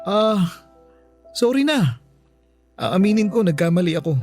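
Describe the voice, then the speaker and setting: A man's voice: high voice, big pitch swings, measured pace, darkish tone, soft background music, exaggerated voice. A man playing an evasive, pleading boyfriend in a Filipino radio drama, stammering and defensive. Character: evasive, pleading live-in boyfriend caught stealing.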